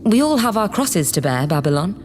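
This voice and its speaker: An actress as a haughty, power-hungry female politician in an audio drama. Her grandiose, condescending delivery sweeps widely in pitch, over faint background noise. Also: very animated, a little fast, darkish tone, close to an everyday voice. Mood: grandiose, dismissive. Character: imperious, scheming politician woman plotting to seize power. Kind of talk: theatrical audio drama acting.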